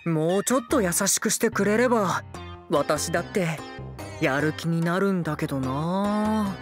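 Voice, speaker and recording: An actor playing a playful, affectionate and lazy young shogun who wheedles and pouts theatrically in a comic anime drama. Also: male voice, high voice, unhurried, music in the background. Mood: teasing. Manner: sing-song wheedling, theatrical pouting. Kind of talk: anime voice drama comedy acting.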